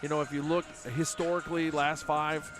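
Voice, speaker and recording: A man hosting a local high school basketball broadcast, chatty and casual with many fillers. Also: level tone, fairly quick, music in the background. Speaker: play-by-play broadcaster.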